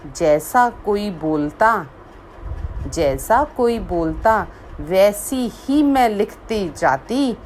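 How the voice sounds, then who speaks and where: A woman reciting a poem she wrote herself, in a measured voice that repeats lines for emphasis, after a short spoken introduction. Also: mid-range voice, expressive, a little hiss or hum, low-quality recording. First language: Hindi. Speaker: poet. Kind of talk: slow recited poetry.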